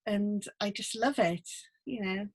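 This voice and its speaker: A middle-aged woman from North Wales, a guest talking gently and thoughtfully over a video call, with many ums and restarts. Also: medium pitch, unhurried. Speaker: guest, book mentor. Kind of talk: casual interview chat. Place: home room with full bookcase.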